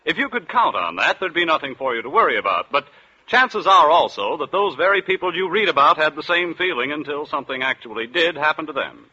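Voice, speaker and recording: A man's voice: on the high side, expressive, fairly quick, sharp tone, husky. A man announcing in a formal 1940s radio broadcast style. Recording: dull 1940s broadcast recording. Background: music.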